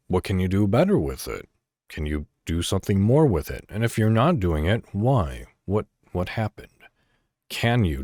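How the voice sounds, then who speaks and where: Deep-voiced man with swooping, expressive intonation. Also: dry recording, clean studio sound. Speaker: podcast host. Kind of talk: reflective solo monologue addressed to listeners.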